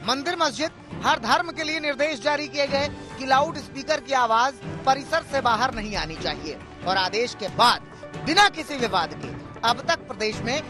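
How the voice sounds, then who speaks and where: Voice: sits high, expressive, moderate pace, over music. A news narrator reading a scripted, dramatic television report.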